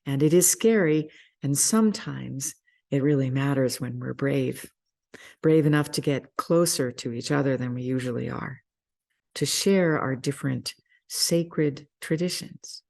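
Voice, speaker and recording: A person speaking reflectively in a sermon-like style, with measured, deliberate phrasing and pauses. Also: medium pitch, vocal fry, a little mellow. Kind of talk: reflective spoken introduction to a story, sermon-like.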